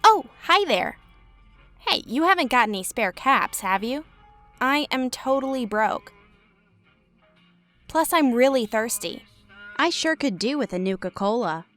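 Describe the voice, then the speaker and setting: A woman's voice: a little high, sing-song, unhurried, long pauses, a bit bright. An actress voicing a broke, cheeky young woman gambler in a game, chirpy and self-mocking. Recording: game audio with faint music.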